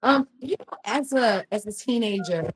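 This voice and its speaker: A woman's voice: on the high side, moderate pace, husky, rough recording. A woman, a podcast guest telling her life story in a chatty way, full of ums and restarts. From Louisiana, US. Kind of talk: casual storytelling interview.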